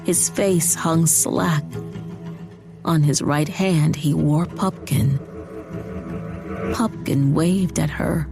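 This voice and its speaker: A woman's voice: sits low, fairly even intonation, very slow, creaky, long pauses. A woman giving scripted, dramatic narration for a horror audiobook. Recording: music behind the voice.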